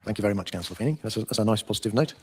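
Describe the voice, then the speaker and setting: A man formally chairing a meeting, with hesitant, halting phrasing. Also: lowish voice, expressive, a little mellow, husky.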